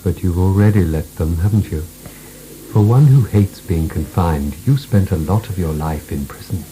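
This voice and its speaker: An actor playing a ghostly philosopher in a radio drama, measured and quietly insinuating as he needles and taunts. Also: deep voice, slight background noise, close to an everyday voice, low-quality recording. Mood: calm, needling. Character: phantom philosopher companion, calmly needling, probing, superior.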